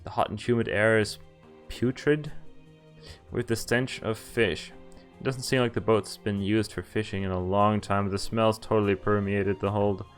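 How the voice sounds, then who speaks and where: Deep-voiced man reading a visual novel aloud. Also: fairly even intonation, moderate pace. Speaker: game streamer. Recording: music behind the voice. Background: game music.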